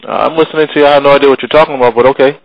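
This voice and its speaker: A man's voice: mid-range voice, fairly even intonation, racing, no room sound. A man answering a phone call in casual, everyday talk. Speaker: prank call recipient.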